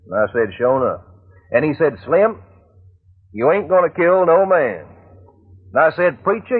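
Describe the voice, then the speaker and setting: An actor playing a talkative, good-natured backwoods soldier with an American Southern accent, folksy and rambling, in old-time radio drama style. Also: male voice, medium pitch, slightly rough. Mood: animated. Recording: muffled, narrow-band old radio recording.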